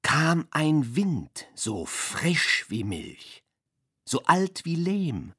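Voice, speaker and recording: A woman reciting literary poetry for a radio play with drawn-out, deliberate phrasing. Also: sits low, sing-song, a bit bright, slightly rough.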